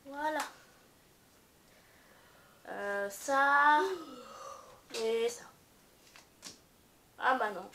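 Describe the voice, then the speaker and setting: Brief: middle-aged woman vlogger, bright high voice, unhurried, playful, sings snatches. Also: a little hiss or hum. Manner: playful, slow and hesitant, hums and sings bits. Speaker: vlogger host. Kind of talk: casual play-along while gaming.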